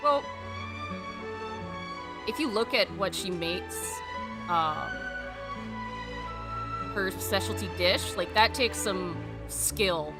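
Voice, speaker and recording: A woman's voice: medium pitch, expressive, slow pace, pauses often. A woman game streamer giving casual let's play commentary, chatting back at the characters as she goes. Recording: loud game music behind voice. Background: game music.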